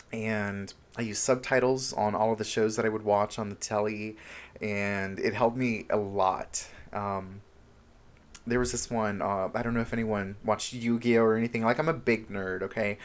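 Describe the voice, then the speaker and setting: A man's voice: on the low side, steady tone, moderate pace. A man talking casually and openly to his viewers as a self-help vlogger, with an earnest, encouraging, informal manner. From Honduras, moved to the United States at seven. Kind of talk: casual confessional vlog talk to viewers.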